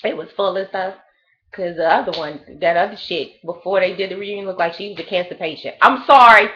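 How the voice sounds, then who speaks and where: A woman's voice: medium pitch, fairly quick, fairly bright tone, lo-fi sound. A woman in her late thirties giving a blunt, profane, rambling gossip review of a reality show in a casual chat. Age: 30s.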